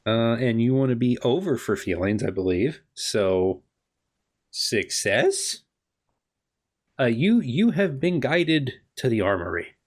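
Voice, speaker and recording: A man's voice: medium pitch, sing-song, slow pace. Wry, playful man running a tabletop game. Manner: playful, wry, repeats himself. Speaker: game master. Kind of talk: improvised tabletop game narration, casual banter.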